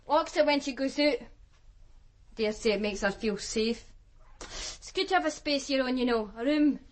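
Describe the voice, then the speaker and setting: An actress playing a streetwise, defiant Glasgow sex worker with a Glaswegian accent, blunt and colloquial in a radio drama. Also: female voice, on the high side, lightly acted. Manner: blunt, colloquial, matter-of-fact. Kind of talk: naturalistic radio drama acting.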